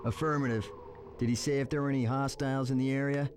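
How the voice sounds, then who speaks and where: An actor playing a resolute special forces captain in a video game, speaking in clipped military phrases. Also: male voice, mid-range voice, steady tone, fast talker, background noise, natural voice. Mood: determined. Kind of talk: video game cutscene acting.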